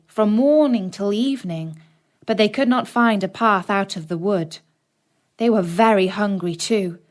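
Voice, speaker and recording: A woman narrating a fairy tale for children as an audiobook reader, in a measured, expressive storytelling manner. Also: medium pitch.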